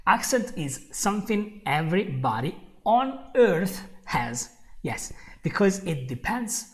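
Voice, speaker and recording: A man's voice: high voice, big pitch swings, takes it slowly. A young man with an Italian accent, a native Italian speaker, teaching a live online English lesson in an encouraging way, repeating phrases and speaking straight to his viewers.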